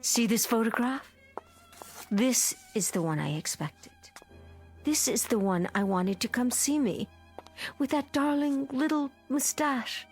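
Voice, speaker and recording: An actress playing a wistful former movie star in an old television drama, slow and theatrical in her longing. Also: on the high side, lively intonation, darkish tone. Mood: disbelieving. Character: wistful, delusional former film actress pining for the past. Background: prominent music.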